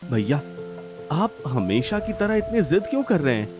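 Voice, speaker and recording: A man's voice: on the high side, very animated, normal speed, over music, voice pushed up. A man playing a concerned, well-off younger brother in a cartoon family drama, respectful and insistent. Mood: pleading. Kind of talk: Hindi cartoon family melodrama.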